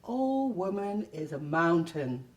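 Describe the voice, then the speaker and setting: Woman reading poetry slowly, dramatic swings. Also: on the low side, sing-song, distant mic. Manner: deliberate, theatrical phrasing with pauses. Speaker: performer reading own poetry. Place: live reading at a public library event.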